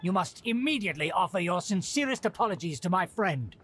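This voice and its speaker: A man's voice: sits high, sing-song, a little fast, fairly bright tone, a little hiss or hum. An actor playing a haughty middle-aged nobleman in a video game, a scheming uncle with a pompous, formal, theatrical manner. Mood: indignant. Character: haughty aristocratic uncle scheming to restore his clan's rule.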